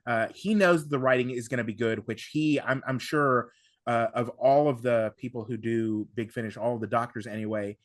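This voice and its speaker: A man's voice: medium pitch, no room sound, studio quality. A man chatting casually as a podcast host, enthusiastic and often doubling back on his words. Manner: halting, repeating words, enthusiastic. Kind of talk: casual discussion of audio drama.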